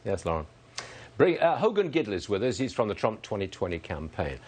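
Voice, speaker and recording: A man's voice: fairly low pitch, big pitch swings, a little fast, a little mellow, slightly rough. A man hosting a live TV news interview, putting pointed questions to a guest.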